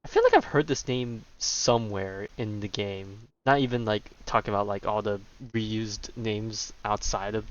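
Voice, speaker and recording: A man's voice: on the low side, fairly even intonation, normal speed, few pauses, highs cut off. A man giving casual, slangy, offhand commentary as he streams a video game. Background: game music.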